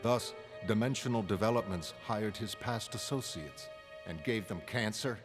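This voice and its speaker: A male actor as a coldly composed mastermind with a deep voice. He lays out his thoughts in measured, grandiose phrases, like a villain in an animated comic drama, with prominent music underneath. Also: lightly acted. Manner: measured, cold, articulate, grandiose. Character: calm, calculating male mastermind confessing his grand plan. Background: dramatic background music.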